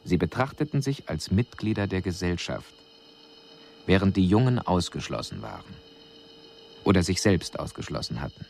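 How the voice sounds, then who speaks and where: Very deep-voiced alien envoy, analytical. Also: male voice, sing-song, creaky, a little mellow, slight background noise, full character voice. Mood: clinical, observant. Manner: measured, analytical, formal. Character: male alien envoy soberly reporting on a doomed planet. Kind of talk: scripted radio drama report.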